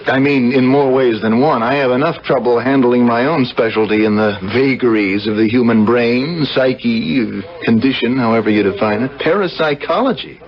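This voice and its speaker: A man's voice: medium pitch, normal speed, few pauses, natural voice. Male actor doing teasing but earnest brother guy, mid voice, dull old radio sound. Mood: earnest, concerned. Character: frank, affectionate brother, a sensible brain specialist. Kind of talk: 1970s radio drama acting. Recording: muffled old radio broadcast.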